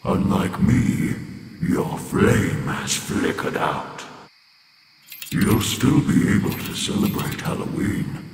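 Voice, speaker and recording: A man voicing a menacing, gloating animatronic jack-o'-lantern monster for a horror game animation, with a breathy, rasping growl and theatrical, taunting delivery. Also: sits low, expressive, slow. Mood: gloating menace. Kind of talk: villain voice lines for horror game fan animation. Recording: cavernous echo, long reverb, noisy background.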